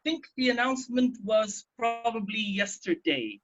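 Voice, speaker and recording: A man's voice: high-pitched, animated, measured pace, fairly bright tone. A man hosting a casual, informative radio talk, explaining things and repeating terms. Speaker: radio host. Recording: dull, muffled band-limited sound.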